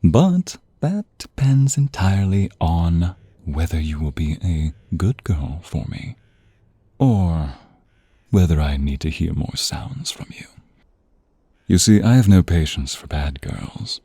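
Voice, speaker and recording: A man voicing a seductive, domineering vampire in an ASMR roleplay, teasing and commanding in a breathy voice that often drops to a whisper. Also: low voice, animated, takes it slowly, dark tone, dry recording, heavily acted. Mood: domineering, smug. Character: sinister, seductive male vampire, domineering and predatory master. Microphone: close, dry studio microphone.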